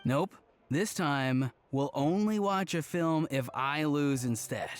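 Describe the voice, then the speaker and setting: A man's voice: fairly high pitch, slow, faint music behind. A man voicing an upbeat, casual young man, a playful friend in a video game. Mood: cheerful. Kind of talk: video game character acting.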